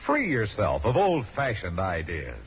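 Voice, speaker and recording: A man's voice: fairly low pitch, wildly swooping pitch, a little slow. A man reading a vintage radio advertisement as an emphatic, selling announcer of the 1950s. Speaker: commercial announcer. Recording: dull vintage radio recording. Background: loud jingle music.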